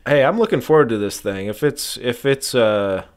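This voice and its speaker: A man co-hosting a comedy podcast, bantering in a halting way and repeating his words. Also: medium pitch, animated. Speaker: podcast co-host.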